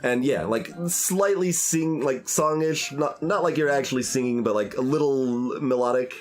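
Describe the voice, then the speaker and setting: A man's voice: medium pitch, normal speed. A man giving casual live commentary as a game streamer, rambling and thinking aloud as he goes. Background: faint game music.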